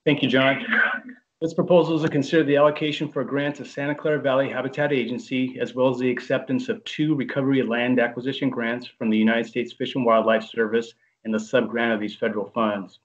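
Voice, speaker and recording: A man's voice: mid-range voice, flat delivery, quick pace. A man on staff presenting a project briefing at a board meeting, reading formally from a script over a video call. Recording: dull lo-fi video call audio.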